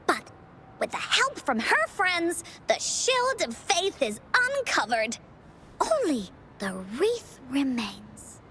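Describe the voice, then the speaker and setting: A woman voicing a spirited, imaginative little girl who tells adventure stories theatrically in a video game, with deliberate dramatic pauses. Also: extremely high pitch, huge pitch swings, pushed and bright, slight background noise, full character voice. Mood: storytelling, spirited.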